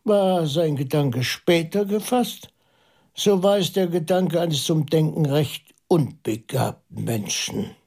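An actor playing a pondering priest detective in a German radio play, methodical and intense, musing aloud with halting emphasis. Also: male voice, on the high side, lively intonation, a little mellow, slightly rough, full character voice. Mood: insistent, puzzled. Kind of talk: German radio play dialogue, deliberate monologue.

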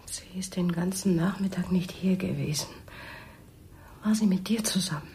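An actress playing a wounded, jealous wife in a radio crime drama, breathy and pointed, with biting sarcasm. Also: female voice, lowish voice, normal speed, warm tone, slight background noise, natural voice. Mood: pleading, suspicious.

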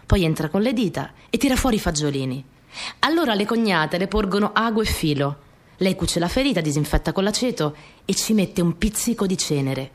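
A woman's voice: medium pitch, lively intonation, brisk, natural voice. An actress playing a young peasant girl who recounts her rural family life in simple, repetitive phrases for a radio play. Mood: matter-of-fact. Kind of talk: first-person dramatic storytelling.

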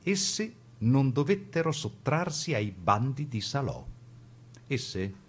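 A man narrating a scripted radio documentary-drama with formal, deliberate phrasing. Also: mid-range voice, big pitch swings, slightly rough.

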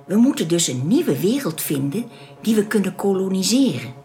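A woman acting in a radio drama as a calm, welcoming young alien who explains things politely and with composure. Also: mid-range voice, normal speed. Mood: earnest, explanatory.

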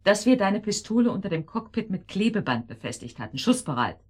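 A woman's voice: medium pitch, sing-song, a little fast, darkish tone, slightly rough. A woman playing a cold, scheming, scornful murder accomplice in a German radio drama, pointed and sarcastic in her delivery. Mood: cool, triumphant.

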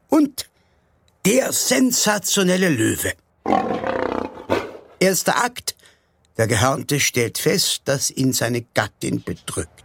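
A man's voice: on the high side, vocal fry, breathy edge, no echo, studio quality, heavily acted. A man playing a mock-solemn storyteller, narrating an absurd radio play with theatrical announcements.

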